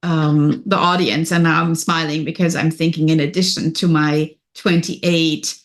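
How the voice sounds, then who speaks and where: A woman with a German accent, speaking as a coach and podcast guest in an energetic, upbeat way. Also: sits low, a little slow. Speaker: business and wellness coach, guest. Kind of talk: podcast interview.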